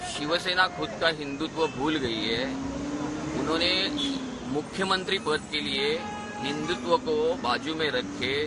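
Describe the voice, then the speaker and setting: A man, a political party worker, giving a halting sound bite to the press. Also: medium pitch, rough recording.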